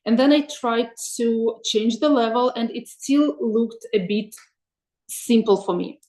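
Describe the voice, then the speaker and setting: A woman's voice: on the high side, measured pace, darkish tone. Woman, webinar presenter, hesitant and friendly delivery, roomy sound. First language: Russian. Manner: thoughtful, many ums and uhs, asks for next slide. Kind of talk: presenting slides in an online webinar.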